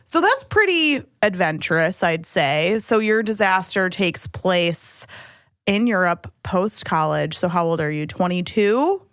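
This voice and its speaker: A woman's voice: fairly low pitch, very animated, unhurried, a bit bright, clean tone, dry recording, studio quality. A young woman hosting a casual podcast chat, bubbly and teasing, laughing often at her guest. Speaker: podcast host.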